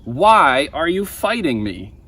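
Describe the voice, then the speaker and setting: A young man voicing a loud, commanding divine figure in a storybook, speaking in a solemn, emphatic way. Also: on the high side, very animated, slow pace, slightly rough, recorded from a distance, pitched above the performer's own voice, heavily acted. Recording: outdoor ambient noise.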